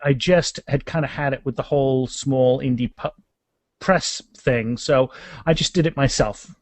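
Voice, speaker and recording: A man's voice: mid-range voice, normal speed, dry recording. A man of about fifty chatting casually and enthusiastically as a guest author in a podcast interview, rambling as he goes.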